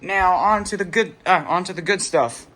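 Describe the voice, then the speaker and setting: Bright-voiced man, rambling casually. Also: sits high, normal speed. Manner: rambling, trailing off and correcting himself. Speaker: hobbyist vlogger presenting a radio collection. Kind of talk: casual unscripted show-and-tell.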